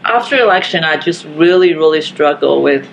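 A woman from Taiwan, speaking haltingly and earnestly as a guest in a casual living-room discussion. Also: low voice, lively intonation, vocal fry, slight background noise, poor audio. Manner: slow, halting, earnest, frequent fillers. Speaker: podcast guest.

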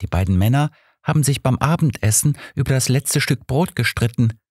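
A man's voice: mid-range voice, lively intonation, fairly quick, a little mellow, dry recording, studio quality, voice pushed up, natural voice. A man voicing a guarded, matter-of-fact young workhouse girl with a faint defiance, in an audiobook story. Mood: matter-of-fact, resigned. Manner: matter-of-fact, resigned, deferential in wording.

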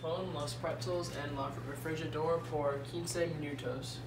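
Halting young man with American accent. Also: a little high, fairly even intonation, loud music behind the voice. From United States. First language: English. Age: young adult. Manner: slow, halting learner's Spanish. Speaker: cooking vlog host. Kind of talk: rehearsed recipe narration. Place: indoors, home dining room and kitchen. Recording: echoey home room, clear background noise.

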